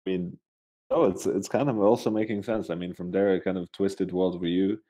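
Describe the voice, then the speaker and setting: A man from Switzerland co-hosting a podcast in casual, unscripted chat, halting as he searches for words. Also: low-pitched, steady tone, dark tone. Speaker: podcast co-host.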